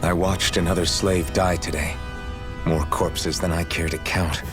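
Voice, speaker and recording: A young masked slave with no memories, earnest and quietly defiant. His voice is very deep and subdued, in dramatic video game style, with prominent music underneath. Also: level tone, a little slow. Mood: troubled, indignant. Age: young adult.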